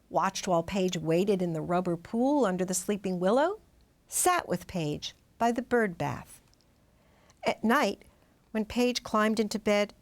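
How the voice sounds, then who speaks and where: A woman reading a children's storybook aloud in expressive, dramatic narration, drawing out some words. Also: on the low side. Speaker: storybook reader.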